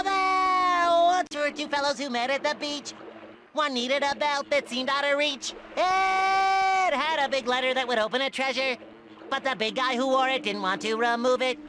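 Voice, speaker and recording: A man's voice: very high-pitched, background noise. A man voicing a naive, eager young cartoon sea sponge for a video game, theatrical and earnest.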